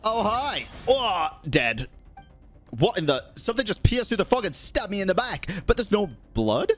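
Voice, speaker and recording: A man's voice: sits high, sing-song, normal speed, fairly bright tone, faint music behind. A man live-streaming a video game, reacting in a jokey, slangy, exclamatory way and reading dialogue aloud. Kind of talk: live game commentary with dramatic reading of on-screen text.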